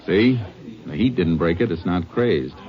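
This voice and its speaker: An actor playing a steady, methodical Texas Ranger investigator, measured and matter-of-fact, in old-time radio western style. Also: male voice, sits low. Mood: intent, observant. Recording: muffled, noisy old radio broadcast recording.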